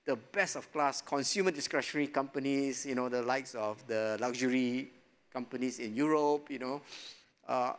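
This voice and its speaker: A middle-aged man speaks as a panelist on a studio stage, recorded on a lapel mic. His talk is relaxed and loose, dotted with small chuckles and frequent filler phrases. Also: medium pitch, normal speed. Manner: relaxed, chuckling, many "you know" fillers. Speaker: investment panelist. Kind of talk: panel discussion answer.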